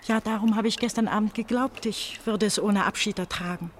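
Radio play role: woman as troubled eighteen-year-old runaway pastor's daughter; halting, brooding, mid-pitched, faint noise behind. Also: steady tone, natural voice. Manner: brooding, halting monologue with repeated phrases. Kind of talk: German radio drama acting.

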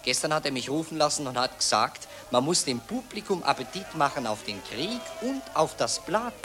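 A pompous journalist. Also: male voice, on the high side, lively intonation, moderate pace, sharp tone. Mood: smug. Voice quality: pompous, declamatory. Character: pompous male journalist, glib and self-satisfied. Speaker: newspaper reporter. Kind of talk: radio drama acting.

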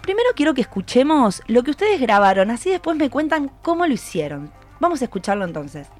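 A woman radio host speaks with a Rioplatense Argentine accent in a somewhat high voice. She interviews conversationally, prompting with questions, while music plays faintly behind her. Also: very animated, fast, darkish tone.

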